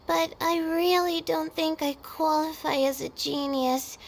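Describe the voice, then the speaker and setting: A woman's voice: high-pitched, steady tone, unhurried, vocal fry, slight background noise. A woman voicing a sleepy, timid, self-doubting young female student in an anime video game, speaking hesitantly and modestly. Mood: bashful.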